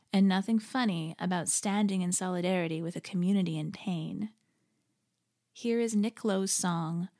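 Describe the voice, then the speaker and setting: A woman hosting a podcast, delivering a reflective scripted monologue in an earnest, measured, articulate way. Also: fairly low pitch, gravelly, a little mellow. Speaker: podcast host; interfaith leader.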